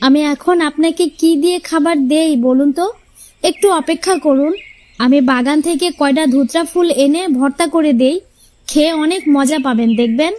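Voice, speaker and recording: A crafty young housewife from a cartoon fairy tale, acted by a woman, with an extremely high voice. She talks in a teasing, pointed way, like a cheeky daughter-in-law. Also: moderate pace, darkish tone, close to an everyday voice. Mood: mock-sweet.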